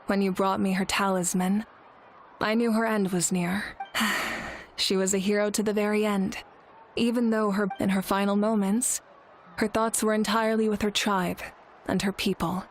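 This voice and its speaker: A woman playing a weary, authoritative female leader in a video game, measured and grave. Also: mid-range voice, flat delivery, a little hiss or hum, natural voice. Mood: somber. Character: composed, weary female chief, solemn and authoritative.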